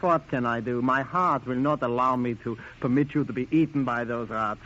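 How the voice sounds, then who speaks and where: A man's voice: mid-range voice, normal speed, fairly bright tone, close to an everyday voice. An actor playing a sly, greedy henchman, oily and mock-polite, in a 1940s radio drama. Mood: oily, amused. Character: sly, greedy, charming male double-crossing henchman. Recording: dull, hissy old radio recording.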